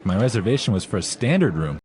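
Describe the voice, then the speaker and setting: A man reading a hotel guest's lines in a scripted English lesson dialogue, speaking clearly and carefully. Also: on the low side, sing-song, fast, a little mellow, a little hiss or hum. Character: male hotel guest checking in. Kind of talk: scripted role-play dialogue.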